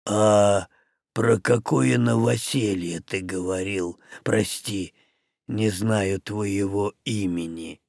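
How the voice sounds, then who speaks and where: A man voicing a wounded male orc who talks slowly in a low, quiet rumble. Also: fairly even intonation, creaky, no room sound, clean studio sound. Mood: polite. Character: wounded male orc, deep and slow-spoken.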